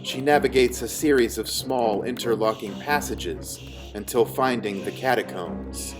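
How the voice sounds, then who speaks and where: A man reading scripted fantasy narration in a measured storytelling manner. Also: on the low side.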